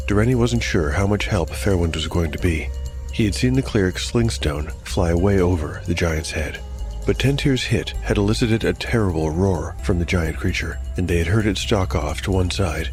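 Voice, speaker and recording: A man narrating a dark fantasy tale in a scripted, dramatic style as game master. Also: low-pitched.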